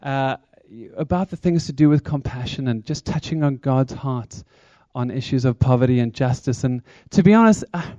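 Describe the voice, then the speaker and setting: A man's voice: mid-range voice, normal speed, hardly any pauses, dark tone, no room sound, highs cut off. A man from South Africa with a South African accent, giving a chatty, engaging talk to a church congregation as a charity speaker. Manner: chatty, engaging, asks the crowd questions.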